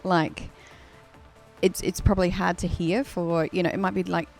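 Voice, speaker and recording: A woman's voice: on the low side, flat delivery, moderate pace, creaky, a little mellow, soft background music. A woman from New Zealand with a New Zealand accent tinged Australian, chatting casually on a live stream, laughing often and using many fillers. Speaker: singer hosting a live stream.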